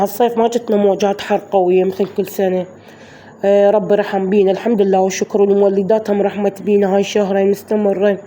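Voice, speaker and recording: Hoarse woman, Iraqi accent, very dark mellow voice, quick chatty narration. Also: medium pitch, a little hiss or hum. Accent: Iraqi Arabic. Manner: chatty, addresses viewers as girls. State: hoarse from flu. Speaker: vlogger. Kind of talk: daily-routine voice-over narration.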